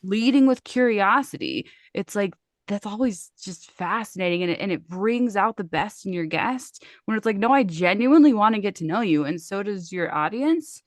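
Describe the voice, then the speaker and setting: A chatty woman co-host talks in a loose, casual way, laughs often and drifts off her point now and then. Her voice sits at a middle pitch. The recording is dry and close, with no room sound. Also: animated, moderate pace, no echo. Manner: chatty, loses her train of thought, laughs easily. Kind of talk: casual chat.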